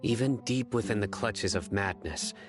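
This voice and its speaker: A man playing a calm, terse, analytical young investigator in a video game, matter-of-fact and measured. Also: on the low side, music in the background, close to an everyday voice.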